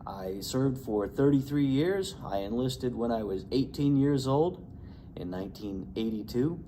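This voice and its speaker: A man in his 50s who grew up in Indiana speaks in an even, earnest, measured way, at a middle pitch for a man. A faint background hiss sits under his voice. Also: poor audio. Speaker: veterans group representative. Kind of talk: introduction and personal reflection.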